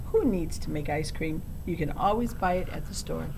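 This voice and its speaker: A woman's voice: lively intonation, normal speed, gravelly. A woman voicing an upbeat, encouraging young girl in a storybook. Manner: bright, emphatic. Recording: low rumbling background noise.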